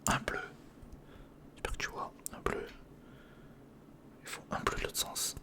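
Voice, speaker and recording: A man giving whispered ASMR commentary on a hands-on build, murmuring to himself as he thinks and chatting informally to the viewer. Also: unhurried. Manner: murmurs to himself while thinking through steps; informal, chummy address to the viewer. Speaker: ASMR video host.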